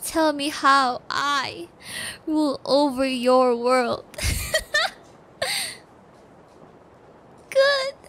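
A woman's voice: high-pitched, big pitch swings, fairly bright tone, heavily acted. A woman playing a sadistic, possessive psychopath who keeps a captive pet, taunting and gloating in an intimate ASMR audio roleplay. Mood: exultant. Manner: taunting, gloating, giggly, drawn-out threats.

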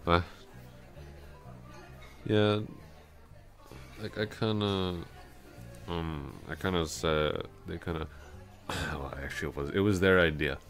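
A man playing a drunk, awkwardly smitten office coworker in an intimate ASMR roleplay, rambling, hesitant and trailing off in a slurred, gravelly voice. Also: low voice, slow pace, long pauses, faint music behind. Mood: awkward, bashful.

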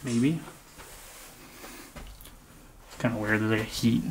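A man's voice: on the low side, slow, poor audio. Guy vlogging thru an empty old house, easygoing, says like a lot, bit of hiss. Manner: relaxed, chatty, frequent "like" and laughs. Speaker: abandoned-house explorer. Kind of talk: casual walkthrough vlog. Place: inside an empty abandoned house.

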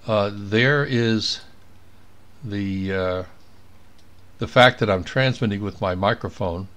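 A man's voice: on the low side, slow, a bit bright. A man giving a casual screen tutorial as an amateur radio operator, thinking aloud as he works. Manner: thinking aloud while working. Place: indoors at a computer.

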